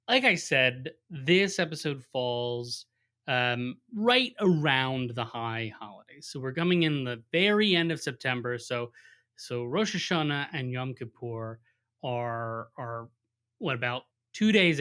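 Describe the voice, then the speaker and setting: Man, podcast host, friendly, rambling, self-joking, medium-pitched. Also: sharp tone. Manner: friendly, rambling, jokes about himself. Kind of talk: casual interview talk.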